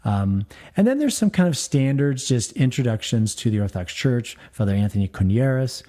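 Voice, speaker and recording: A man's voice: medium pitch, very animated, normal speed, dark tone. A man, a priest, hosting a call-in radio talk show in a friendly, chatty way, with frequent fillers. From United States.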